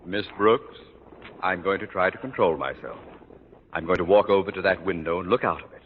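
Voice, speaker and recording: An actor playing a pompous, irascible middle-aged school principal who scolds in formal, blustering speeches in a vintage radio sitcom. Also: male voice, fairly quick, lightly acted. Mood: strained restraint. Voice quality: blustering, booming delivery. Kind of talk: 1950s radio sitcom acting. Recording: muffled old radio recording, noisy, reverberant.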